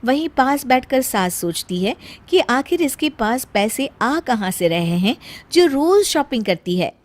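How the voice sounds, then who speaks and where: A woman's voice: sits high, sing-song, measured pace. A woman storyteller reading scripted narration for a story.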